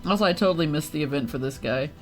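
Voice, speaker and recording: A woman's voice: low-pitched, rapid-fire. A woman livestreaming a game, reacting informally and chatting casually as she plays. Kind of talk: casual livestream chat while playing. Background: faint game music.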